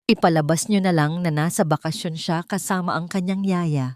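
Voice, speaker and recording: A woman's voice: fairly low pitch, steady tone, moderate pace, darkish tone, dry recording, clean studio sound, lightly acted. Woman voicing a cold, commanding rich husband. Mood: cold, scheming. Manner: commanding, threatening.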